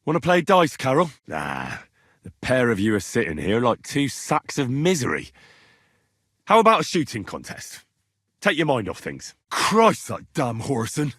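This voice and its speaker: A man's voice: medium pitch, wildly swooping pitch, normal speed, fairly bright tone, slightly rough, no echo, clean studio sound, full character voice. A man voicing a young medieval man-at-arms in a video game, earnest, cheeky and plain-spoken, talking in a direct, inquisitive way. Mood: friendly, inviting, then angry, alarmed. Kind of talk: video game dialogue acting.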